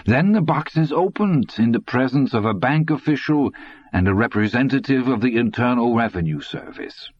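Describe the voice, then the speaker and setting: A man's voice: mid-range voice, lively intonation, moderate pace, slightly rough, lo-fi sound, pitched above the performer's own voice. A man doing a gloating old man. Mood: smug. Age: older. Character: sly old German man, cold, mocking.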